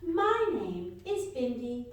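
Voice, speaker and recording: A woman's voice: a little high, very animated, takes it slowly. A woman playing a blissful, soothing meditation host in a spoof radio sketch. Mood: serene, blissful. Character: serene, blissful female guided-meditation host. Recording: echoey hall stage, distant mic.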